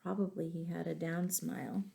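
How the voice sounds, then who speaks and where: A woman reading stories aloud to young children, expressive, pausing to ask them questions. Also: on the low side, creaky. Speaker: children's storytime reader and teacher.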